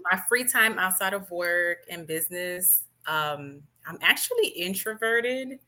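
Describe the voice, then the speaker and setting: Female interview guest from Miami, bright crisp-edged tone, composed, conversational explainer. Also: lowish voice, animated, a little slow. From Miami, Florida. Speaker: podcast guest, nurse and business owner.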